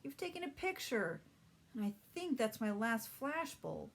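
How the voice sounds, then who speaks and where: An earnest big brother in a storybook, read by a woman. He speaks up emphatically, pitched above a plain narrating voice. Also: big pitch swings, measured pace, gravelly, exaggerated voice. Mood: exasperated. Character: earnest older boy, responsible big brother.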